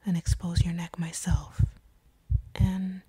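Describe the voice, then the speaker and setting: A woman's voice: fairly low pitch, little pitch movement, takes it slowly, mellow, husky, dry recording, full character voice. A woman voicing a seductive, sadistic vampire in intimate roleplay audio, whispering breathily in a teasing, commanding way. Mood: coolly threatening. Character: seductive, sadistic vampire woman taunting her captive hunter.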